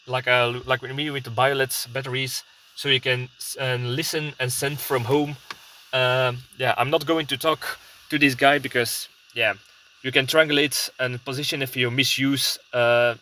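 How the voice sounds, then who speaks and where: A man's voice: mid-range voice, sharp tone. A man with a Belgian accent talks casually in a rambling vlog style, hesitating and searching for words. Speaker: prepper video blogger. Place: inside a parked car.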